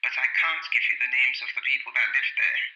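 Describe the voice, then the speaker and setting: A woman's voice: very deep voice, fairly even intonation, a little fast, sharp tone, full character voice. Casting: muffled, noisy phone-call voice, businesslike, helpful female official, naturalistic radio drama. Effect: telephone filter. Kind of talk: naturalistic audio drama acting. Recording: noisy, band-limited telephone line.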